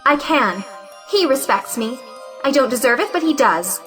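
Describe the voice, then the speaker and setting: A woman's voice: sits high, very animated, moderate pace, a bit bright, soft background music. A woman playing a frank, defiant young unmarried lady, earnest and plaintive, in a theatrical audio play. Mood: indignant. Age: young adult. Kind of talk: theatrical audio drama acting of a 1917 play.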